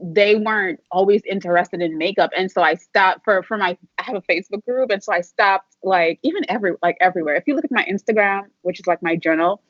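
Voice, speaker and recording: A woman's voice: mid-range voice, fast talker, hardly any pauses, a bit bright, no room sound. Laughing woman from Trinidad on a muffled call. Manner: candid, laughs between thoughts. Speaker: guest, video coach. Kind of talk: casual interview chat.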